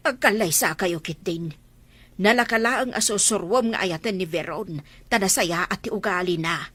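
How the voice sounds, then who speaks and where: A woman's voice: medium pitch, normal speed, fairly bright tone, close to an everyday voice. A woman acting a pleading, insistent elderly mother in a radio-style drama, with an Ilocano accent. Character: pleading, insistent old mother wanting grandchildren.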